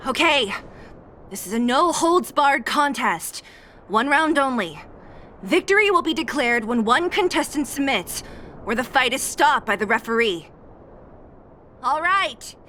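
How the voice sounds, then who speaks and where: A woman's voice: high voice, lively intonation, unhurried, long pauses, pushed and bright, slight background noise, exaggerated voice. An actress playing an exasperated, no-nonsense young female fighter in a video game, blunt and dry in manner. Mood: announcing, firm.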